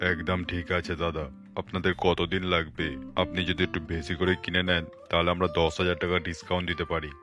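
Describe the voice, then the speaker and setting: A man's voice: deep voice, little pitch movement, normal speed, music in the background, close to an everyday voice. A man voicing a boastful, salesy poultry farmer in a Bengali cartoon. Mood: assuring, boastful. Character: boastful, salesy poultry farm egg seller. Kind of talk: cartoon voice acting.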